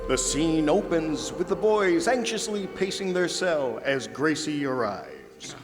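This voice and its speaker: An actor playing an old-time radio announcer, narrating the scene in a formal, presentational way. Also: male voice, fairly high pitch, lively intonation, slow pace, music in the background. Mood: formal announcing. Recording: reverberant hall.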